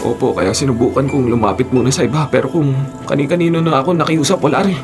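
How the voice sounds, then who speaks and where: A man's voice: medium pitch, quick pace, music in the background, lightly acted. An actor playing a humble, desperate father pleading for his sick child in a Filipino radio drama. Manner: pleading, deferential.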